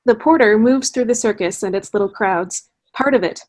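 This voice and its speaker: A woman's voice: mid-range voice, normal speed. A woman giving scripted theatrical narration, reading stage directions for a play. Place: indoors on a video call.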